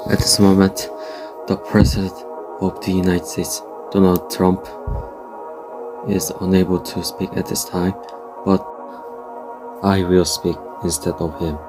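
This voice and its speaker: A low-pitched man delivers a scripted emergency alert as a broadcast announcer. His manner is formal and solemn, and prominent music plays under his voice. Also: little pitch movement, unhurried, pauses often.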